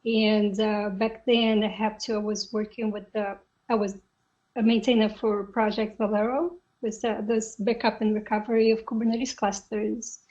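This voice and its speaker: A woman from Brazil with a Brazilian Portuguese accent, a guest on a tech podcast who speaks in a halting way and often pauses to find her words. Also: medium pitch, flat delivery, a little mellow, lo-fi sound. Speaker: podcast guest, software engineer. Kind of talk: casual interview talk.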